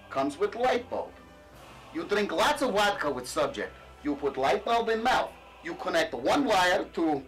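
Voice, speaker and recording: A man's voice: high voice, far from the mic, full character voice, low-quality recording. A man doing a boastful Russian ex-KGB peddler. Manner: broken English, salesman patter, deadpan crude jokes.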